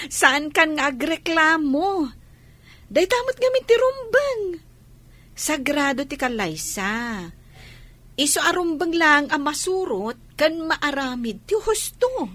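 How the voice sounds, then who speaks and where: Opinionated young female cousin played by an actress, radio drama. High, animated voice. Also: slow, long pauses, exaggerated voice. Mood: earnest.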